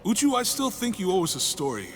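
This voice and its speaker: A man's voice: high-pitched, huge pitch swings, fast, a little hiss or hum. A man playing a caring, protective friend in a video game, with casual natural delivery. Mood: teasing. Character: warm, protective male friend of the adventurer.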